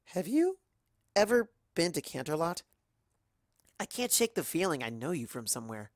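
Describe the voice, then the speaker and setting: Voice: mid-range voice, big pitch swings, moderate pace, no echo, studio quality, voice pushed up. A performer voicing an earnest, polite, somewhat puzzled young unicorn mare in a storybook reading, hesitant and courteous. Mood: curious.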